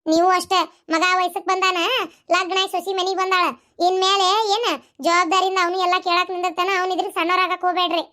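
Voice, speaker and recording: A woman's voice: very high voice, bright, forceful tone. A woman voicing a bossy, indignant middle-aged village daughter-in-law in a cartoon, lecturing insistently with a North Karnataka accent. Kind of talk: village comedy cartoon dialogue.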